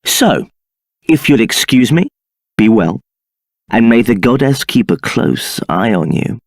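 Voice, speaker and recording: Smug scheming templar captain in a game, haughty, swoops all over, dry. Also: male voice, medium pitch, sing-song, unhurried, vocal fry, no room sound, heavily acted. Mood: sneering. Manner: haughty, condescending, silky. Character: scheming arrogant male templar captain, adult. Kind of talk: video game voice acting.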